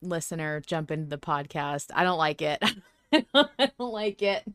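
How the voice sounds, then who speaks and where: A woman hosting a fan podcast, chatting in an expressive, emphatic way. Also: low-pitched, measured pace, clear, resonant tone, no room sound, studio quality. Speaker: podcast host. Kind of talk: enthusiastic fan podcast chat.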